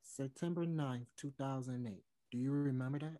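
A man's voice: mid-range voice, dark tone, lightly acted. Young man acting a nervous, hesitant singer. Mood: earnest. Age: young adult. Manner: hesitant, halting. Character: nervous, earnest male singer seeking a hard talk. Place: indoor room on video call.

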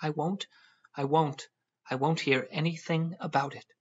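A man's voice: fairly high pitch, fairly even intonation, measured pace, husky, highs cut off, pitched above the performer's own voice. A man voicing a petulant, flighty young wife, raising his voice into a fretful, whiny delivery.

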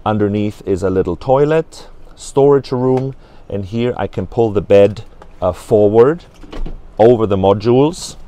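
A man's voice: lowish voice, measured pace, warm tone. A man in his forties at a trade fair, walking through a camper van and explaining its fittings, with many hesitant fillers, on a lapel mic in a large exhibition hall. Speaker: company representative at a trade fair stand. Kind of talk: product walkthrough.

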